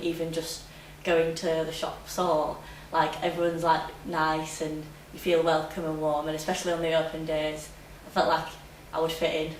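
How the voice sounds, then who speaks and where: A young woman talks casually in a low, fairly flat voice. She is heard from across an echoey room with faint noise behind her. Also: recorded from a distance. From Leeds, England. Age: young adult. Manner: casual, frequent "like". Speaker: student interviewee. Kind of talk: casual interview answers.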